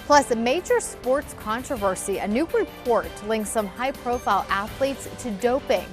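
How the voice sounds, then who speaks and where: A woman's voice: on the high side, animated, normal speed, over music. A woman anchoring local news, reading scripted stories in a broadcast style.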